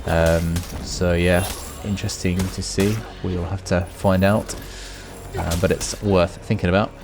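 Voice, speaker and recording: A man's voice: low voice, little pitch movement, a little slow, over music. A man hosting a gaming video, giving casual, unscripted commentary and musing aloud as he goes. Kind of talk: casual off-the-cuff gameplay commentary.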